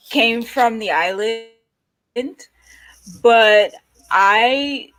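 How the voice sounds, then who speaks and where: New york accent lady hosting a panel, super slow and halting, lots of pauses. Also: medium pitch, a bit bright, rough recording. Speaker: panel host. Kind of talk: casual panel chat.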